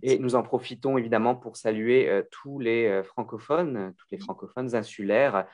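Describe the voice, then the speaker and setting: A man's voice: mid-range voice, normal speed, a little mellow. A man hosting a radio interview, courteous and thanking his guest, with frequent fillers. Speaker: radio host.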